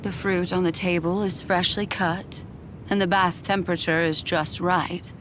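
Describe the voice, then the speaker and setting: A woman's voice: on the low side, steady tone, measured pace, noisy background, natural voice. A woman voicing an observant, serious young woman in a video game, precise in her delivery. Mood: puzzled. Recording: loud music behind the voice.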